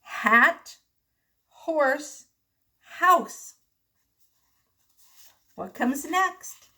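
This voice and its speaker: A woman reading aloud to young children as a teacher, enunciating deliberately and prompting them with questions. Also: high-pitched, lively intonation, sharp tone. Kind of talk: children's read-aloud lesson.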